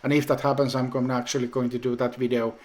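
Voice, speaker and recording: A man whose native language is Finnish, talking casually in a home brewing vlog update, with many pauses and restarts. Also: medium pitch, flat delivery, darkish tone. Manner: slow, hesitant, many ums and restarts.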